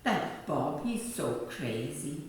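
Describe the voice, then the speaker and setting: A woman's voice: low voice, very animated, slow pace, exaggerated voice. A woman playing a kindly, fussing, chatty married neighbour in a radio play. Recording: echoey room, far from mic.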